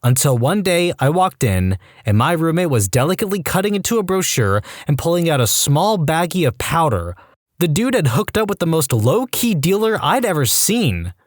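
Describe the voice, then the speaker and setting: Man narrating internet stories, animated pitch, wry asides, dry close mic. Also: moderate pace, no echo. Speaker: narrator reading online posts. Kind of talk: scripted storytime narration.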